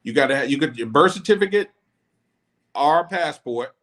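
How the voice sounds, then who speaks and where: Relaxed, rambling man, fairly high voice. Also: moderate pace. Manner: relaxed, rambling explainer with many restarts. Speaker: podcast host; former law enforcement officer. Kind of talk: casual chat.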